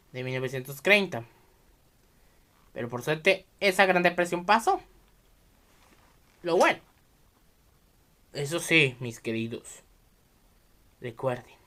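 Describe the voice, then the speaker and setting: A Mexican man hosts a solo video podcast, talking straight to his viewers. His voice is bright and swings freely up and down. He speaks haltingly, circling back and repeating phrases as he explains. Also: high-pitched. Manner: halting, repetitive, often restarting sentences mid-phrase.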